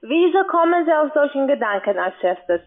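A woman's voice: high-pitched, very animated, normal speed, a loud crowd. A woman working as a call center agent, speaking formally and insistently in a sales call. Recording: tinny band-limited phone line.

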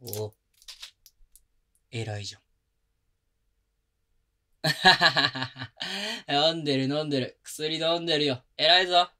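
A man playing a young boyfriend who coaxes and pampers his partner, talking in rough casual slang softened with gentle whispers, recorded close on a binaural mic. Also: a little high, lively intonation, slow pace, pauses often, full character voice. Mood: tender, teasing. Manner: soft close whispers at times. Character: young male boyfriend, rough-spoken but doting caretaker. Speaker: voice actor. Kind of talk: Japanese girlfriend-experience roleplay audio drama.

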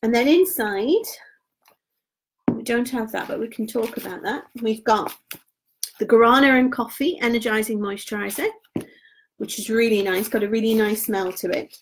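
A woman, chatting casually on a live stream about gift sets, her voice going hoarse. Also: on the high side, normal speed, slight background noise, rough recording. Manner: chatty and informal, trails off quietly. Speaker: beauty product consultant.

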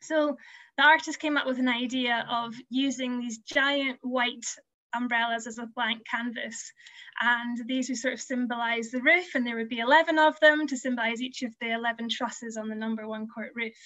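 A woman's voice: on the high side, steady tone, normal speed, bright, forceful tone. A young woman giving a conference presentation, earnest and explanatory with frequent ums, her voice a little worn. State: losing her voice. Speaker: museum community learning officer. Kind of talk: conference presentation over slides. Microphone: video call webcam. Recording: dull lo-fi video call audio.

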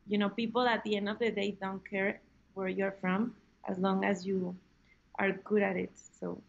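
A young woman from Mexico with a Spanish accent, answering interview questions over a video call in a halting way with many fillers. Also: medium pitch, flat delivery, creaky, highs cut off. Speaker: guest. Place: room at home.

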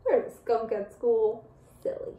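A young woman teacher reading a picture book aloud to children, expressive and full of playful asides. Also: fairly high pitch, measured pace, phone-quality sound. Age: young adult.

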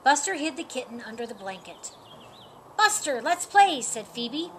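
A woman's voice: sits high, a little hiss or hum. A middle-aged woman reading a children's picture book aloud outdoors, raising her voice for the animal characters. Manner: slow, lively, lifts her voice for animal lines. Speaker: storyteller. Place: outdoors in a sunny yard. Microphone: camera a few feet away.

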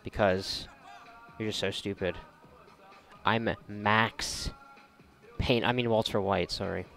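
A man's voice: lowish voice, steady tone, slow, vocal fry, fairly bright tone. A man giving casual let's play commentary in a sarcastic, joking and profane manner. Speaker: gamer, video creator. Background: game music.

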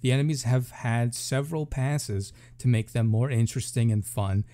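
Casual man with a mellow voice. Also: mid-range voice, moderate pace. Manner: informal, thinking aloud while reading. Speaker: gaming video creator. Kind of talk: casual live reaction commentary. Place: room at home.

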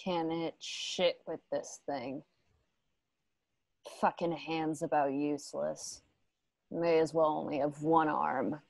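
A woman's voice: sits low, steady tone, takes it slowly, creaky, pauses often, a little mellow, no echo, exaggerated voice. An actress playing a hardened, blunt, vengeful young woman in a radio play. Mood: bitter. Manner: blunt, foul-mouthed. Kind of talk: remote radio play acting.

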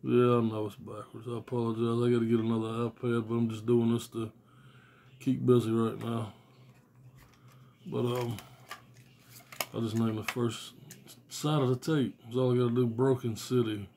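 Blunt, low-pitched American man, noisy recording. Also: flat delivery, moderate pace, dark tone. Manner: blunt, profane, slangy. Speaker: music fan reviewing albums on video. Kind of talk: casual unscripted vlog chat. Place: small room at home. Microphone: phone or tablet at arm's length. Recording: noisy phone recording with clicks.

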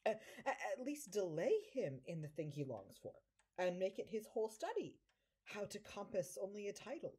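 A woman playing an impish devil posing as a fawning, scheming manservant in an audio drama, with flattering, theatrical delivery in the manner of early stage comedy. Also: medium pitch, normal speed, husky. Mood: sly, coaxing. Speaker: amateur actor.

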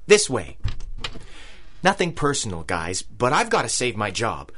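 An actor playing a nervous, wisecracking clinic employee, flustered and dry, in a 1930s-style radio drama. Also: male voice, mid-range voice, huge pitch swings, measured pace, fairly bright tone, a little hiss or hum.

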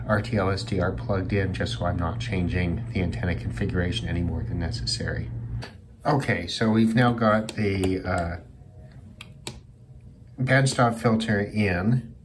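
A man's voice: low-pitched, noisy background. A man giving a casual hands-on demonstration as a hobbyist host, thinking aloud in a tentative way.

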